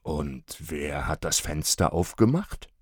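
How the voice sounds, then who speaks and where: Storybook figure: a man doing a gruff father, deep growl, slow. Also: expressive, no room sound, clean studio sound, exaggerated voice. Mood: grumpy. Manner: low growling, grumbling.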